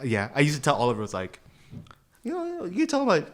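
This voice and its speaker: A man's voice: fairly high pitch, huge pitch swings, brisk. A young man co-hosting a podcast, chatting casually and slangily with friends and often repeating himself as he agrees. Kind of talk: casual chat between friends.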